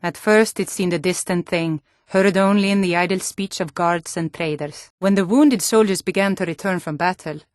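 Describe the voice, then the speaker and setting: A woman voicing a sturdy, plain-spoken Nord townswoman in a fantasy game, speaking scripted lines in a measured way. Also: lowish voice, dry recording, studio quality, lightly acted. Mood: reflective.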